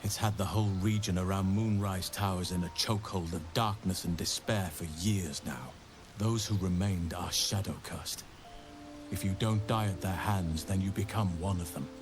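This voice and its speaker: An actor playing an earnest, learned druid burdened by regret, speaking in measured, formal phrases in a fantasy video game. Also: male voice, low-pitched, lightly acted. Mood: grave, regretful. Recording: game music behind.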